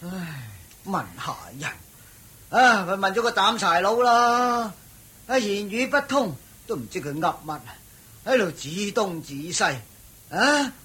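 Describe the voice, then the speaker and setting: An actor playing a chubby, pessimistic male traveller in a radio drama, whining and sighing in a very raspy voice. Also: high voice, lively intonation, unhurried, sharp tone, exaggerated voice. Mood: scornful grumbling. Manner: whining, sighing, exaggerated complaining. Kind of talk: Cantonese radio drama acting.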